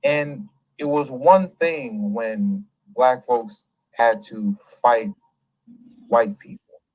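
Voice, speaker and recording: A man of middle pitch hosts a casual commentary show, animated and emphatic. He laughs easily and drifts off on tangents before circling back. The sound is dry and close. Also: very slow, no room sound, rough recording. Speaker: host.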